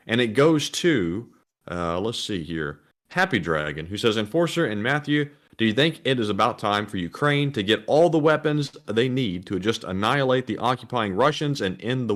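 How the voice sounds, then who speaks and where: A man co-hosting a live stream, reading out viewer messages in a calm, fairly monotone voice. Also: medium pitch. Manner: calmer, more monotone voice. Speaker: co-host reading donations.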